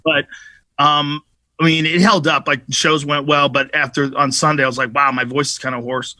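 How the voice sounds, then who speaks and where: Male comic guest, bright voice a touch high, swearing storyteller, dry studio sound. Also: normal speed, dry recording. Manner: profane, animated storytelling. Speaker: comedian guest. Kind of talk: casual podcast chat.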